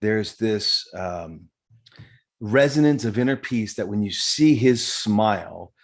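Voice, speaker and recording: A man's voice: on the low side, expressive, takes it slowly. A male podcast host giving an earnest, effusive introduction and asking questions, with fillers and restarts.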